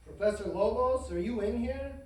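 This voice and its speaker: A man playing a flustered, panicky doctor in an animated comedy table read. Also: very high-pitched, moderate pace. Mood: calling out. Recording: very echoey room, distant mic.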